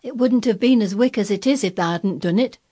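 A woman plays a friendly country boy from a storybook. His voice is raised high, open and full of eager curiosity. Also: a little fast, dark tone, lightly acted.

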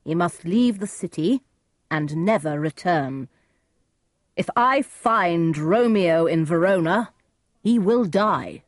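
Woman as stern, slow-spoken prince. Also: on the low side, expressive, no room sound, clean studio sound. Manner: slow, authoritative. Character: stern male prince passing judgement.

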